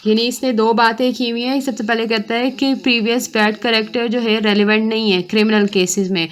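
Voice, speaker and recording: A woman's voice: fairly high pitch, fairly even intonation, fairly quick, slight background noise. A woman teaching in an informal explanatory lecture, reading out points and rephrasing them, often adding short checks for understanding. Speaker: law lecturer, online teacher.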